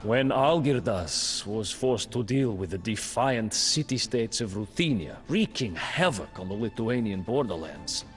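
A man narrates a game's storybook campaign in a slow, unhurried studio voice-over. His delivery is grave and measured, with a lively, swinging pitch that leans hard on dramatic moments. Faint music plays beneath him. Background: faint music score.

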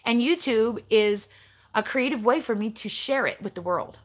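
A woman in her forties with a raspy voice, chatting casually to the camera as she answers viewer comments and rants a little, recorded up close in her bedroom. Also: on the high side, normal speed. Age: 40s. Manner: animated, ranty. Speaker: vlogger. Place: bedroom at home, indoors. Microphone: camera close in front.

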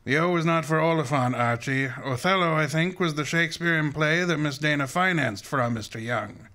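A man's voice: on the high side, fairly quick, pushed and bright. A man playing an imperious, erudite master detective in a radio drama, speaking in a formal, precise and pompous way. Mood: imperious, accusing. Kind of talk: old-time detective radio drama acting.

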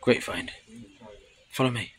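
A man, chatting casually and excitedly to camera as an exploring vlogger. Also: unhurried. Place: outdoors on streets and woods, later inside a tunnel. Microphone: handheld camera or phone at arm's length. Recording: noisy handheld recording on location.

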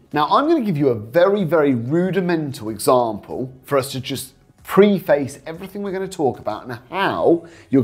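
A man's voice: medium pitch, a little mellow, slightly rough. A British man presenting as a coach, explaining things informally and persuasively to viewers with frequent rhetorical questions. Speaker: host, property investing coach. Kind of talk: informal instructional talk to viewers.

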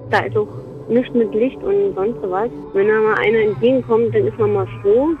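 A woman's voice: medium pitch, steady tone, moderate pace. A woman interviewee speaking casually in a lo-fi clip. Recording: tinny phone-like lo-fi clip.

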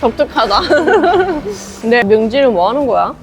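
High-voiced woman chatting over music. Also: sing-song, normal speed. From North Korea. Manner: informal, often laughing while talking. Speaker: vlogger. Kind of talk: casual chat with friends.

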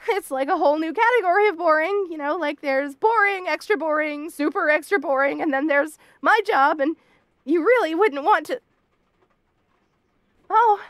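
A woman's voice: very high voice, fairly bright tone, no echo. A woman voicing a cute, bashful bunny girl roommate in a roleplay audio, stammering and evasive in a flustered way. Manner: stammering, nervous, flustered denial. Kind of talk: roleplay audio acting, addressed to the listener.

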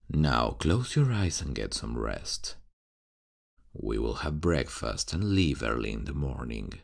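A man's voice: very deep voice, gravelly, full character voice. A man voicing a caring father at bedtime in an ASMR roleplay, soothing and reassuring, calling the listener honey.

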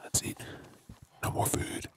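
An Australian man playing a gruff, wary zombie apocalypse survivor in a whispered ASMR role play, terse, urgent and husky. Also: darkish tone, a little hiss or hum, lightly acted. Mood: focused, relieved. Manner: terse, urgent, slow clipped phrases.

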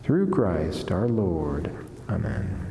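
Middle-aged man, friar at a lectern, formal devotional reading, expressive varied intonation, soft dark tone, reverberant chapel. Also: low-pitched, slight background noise. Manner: measured, deliberate, formal. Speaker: friar reading a saint's life and reflection. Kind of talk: solemn devotional reading from a book. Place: wood-panelled chapel, indoors. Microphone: lectern gooseneck microphone. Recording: echoey chapel with long reverb.

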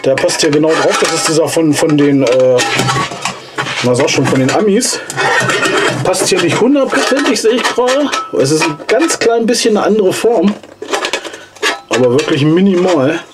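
A man in his forties, hoarse from a cold, casually and enthusiastically reviewing gear in a basement vlog. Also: a little high, expressive, moderate pace, pauses often, over loud music. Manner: enthusiastic, chatty, slangy. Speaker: outdoor gear channel host. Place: cluttered basement storage room, indoors. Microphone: camera mic from a few steps away.

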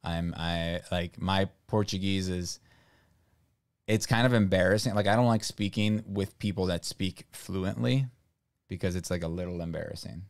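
A man with a very deep, low voice talks in a loose, rambling way, full of fillers, as he chats on a home livestream. He is in his thirties, from Brazil, and speaks close to a studio mic in a small room. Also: normal speed, creaky, clean tone, no echo. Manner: relaxed, rambling, filler-heavy talk. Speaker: stream host. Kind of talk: casual chat on a livestream. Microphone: studio mic on boom arm, close.

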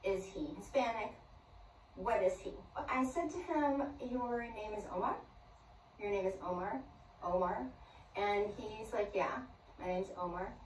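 A woman's voice: medium pitch, measured pace. An older woman in a phone video recounting a personal story, acting out each line of dialogue. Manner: animated storyteller who acts out dialogue. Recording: roomy far-off clip with faint noise.

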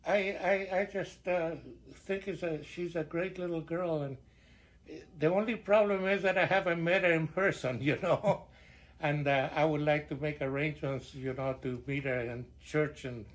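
An older man from Chile with a Chilean Spanish accent, chatting at home in a halting way, full of fillers and restarts. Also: on the high side. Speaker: interviewee. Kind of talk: casual conversation. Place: living room at home, indoors.

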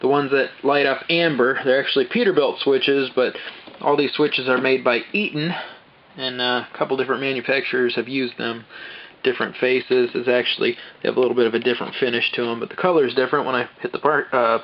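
A man's voice: mid-range voice, steady tone, a little fast, dull audio, slight background noise. A man giving a casual step-by-step walkthrough of the switches and lights he wired inside his parked bus, filming with a handheld camera. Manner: explains step by step, plain and practical. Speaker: bus owner showing his build. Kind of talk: casual show-and-tell walkthrough. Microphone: handheld camera mic, filming himself off screen.

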